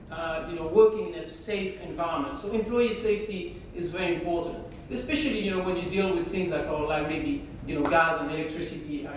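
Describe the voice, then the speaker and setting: A woman with a French accent delivers a keynote talk in an easy, conversational manner, often pausing and reaching for words. Her voice comes from a distance, with a strong wash of hall reverb and a faint low rumble underneath. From France. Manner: conversational, hesitant, many fillers. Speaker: keynote speaker. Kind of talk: conference keynote presentation. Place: large conference hall.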